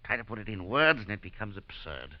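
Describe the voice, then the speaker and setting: A haunted professor on an old radio drama, his lowish voice tight with nerves and breaking into urgent outbursts. The sound is dull and lo-fi, with a dry, close feel. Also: big pitch swings, brisk, dry recording. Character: nervous, haunted male professor, middle-aged. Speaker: professor and scientist. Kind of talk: 1940s radio acting.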